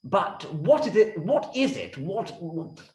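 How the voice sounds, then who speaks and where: A man puts on a pompous storybook king with a raised, swooping voice. He is excitable and easily flustered, gushing theatrically in an echoey room. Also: sits high, slow, heavily acted. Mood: upset. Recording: roomy, echoey room sound.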